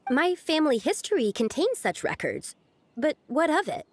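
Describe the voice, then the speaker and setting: Earnest high-voiced young game heroine. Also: female voice, very animated, normal speed, no room sound. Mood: resigned. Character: earnest, polite young woman from a strict traditional family. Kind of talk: anime game voice acting.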